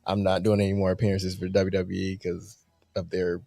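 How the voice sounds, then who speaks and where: Low gravelly man, blunt. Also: monotone, a little fast, warm tone, no echo, studio quality. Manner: blunt, opinionated. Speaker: podcast co-host. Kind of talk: casual sports podcast chat.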